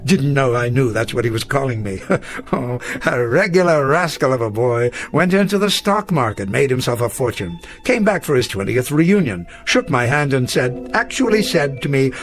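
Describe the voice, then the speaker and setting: An actor playing a kindly, proud elderly schoolteacher in a radio drama, speaking in a genteel, hesitant, rambling old-fashioned way. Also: male voice, medium pitch, animated, normal speed, faint music behind, lightly acted. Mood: fond, proud.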